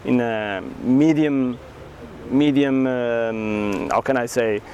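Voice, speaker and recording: A man with a Portuguese accent and Portuguese as his first language, telling his story in halting English as an interview guest. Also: mid-range voice, darkish tone, lo-fi sound, slight background noise. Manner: earnest, halting non-native English with many restarts. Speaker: karate champion and entrepreneur, guest.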